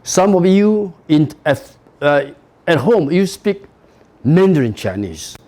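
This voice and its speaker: A middle-aged Taiwanese man lectures a university class in accented English, unhurried and deliberate. His pitch sits a little high and swings widely as he leans on key words. He peppers his points with "you know" and "okay.". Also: big pitch swings, warm tone.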